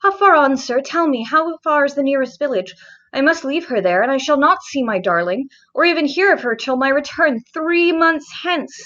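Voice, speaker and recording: A high-pitched, proud noblewoman of middle age, acted by a woman reader, speaks with formal, theatrical agitation and pleading. It is a storybook performance on a slightly dull, lo-fi recording. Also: lively intonation, normal speed, a bit bright. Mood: agitated, desperate.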